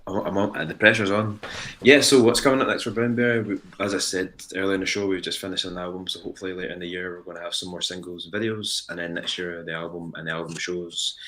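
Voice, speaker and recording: A man's voice: sits low, a bit bright. A man from Ayrshire with a Scottish accent, a band member giving easygoing, upbeat answers as a guest. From Ayrshire, Scotland. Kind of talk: casual interview chat.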